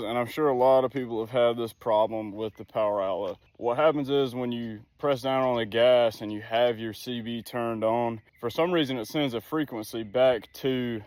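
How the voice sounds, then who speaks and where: A man's voice: mid-range voice, a little mellow, no room sound. A man chatting casually through a hands-on how-to vlog in a folksy, easygoing way, thinking aloud as he works. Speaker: vlogger doing a DIY car project. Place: outdoors at a vehicle, some wind. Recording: noisy outdoor recording with ambience.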